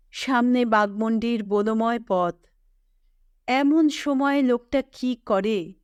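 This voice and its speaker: Slow, clear woman storyteller. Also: fairly high pitch, dry recording, studio quality. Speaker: storyteller and channel host. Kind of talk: scripted literary story narration in Bengali.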